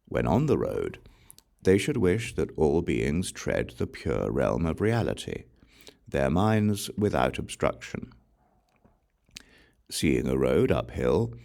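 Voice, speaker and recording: A man reading Buddhist scripture as an audiobook in a solemn, measured, formal way. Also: a bass voice, creaky, a little mellow. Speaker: audiobook reader.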